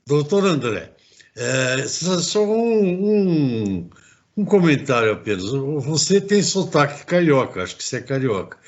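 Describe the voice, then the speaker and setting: A man's voice: fairly high pitch, big pitch swings, a little slow, lo-fi sound. A man hosting an interview show, chatting in a rambling way with many restarts. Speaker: host. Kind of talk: casual interview talk.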